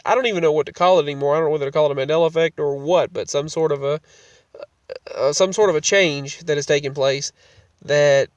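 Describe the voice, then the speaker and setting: A man's voice: a little high, no echo, far from the mic. A man with a cold, sounding congested, talking casually to his vlog audience and often restarting and repeating his phrases. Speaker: vlogger.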